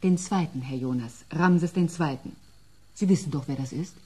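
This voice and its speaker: Dark-toned, formal female bureaucrat. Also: low voice, breathy edge. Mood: businesslike. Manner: formal, brisk, condescending. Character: formal, cool female senior culture official. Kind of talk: German radio play acting.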